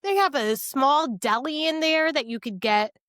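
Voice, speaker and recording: A woman's voice: sits high, lively intonation, normal speed, fairly bright tone, no room sound, clean studio sound. An American woman from New Jersey chatting casually as a podcast co-host. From New Jersey, United States. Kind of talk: casual chat.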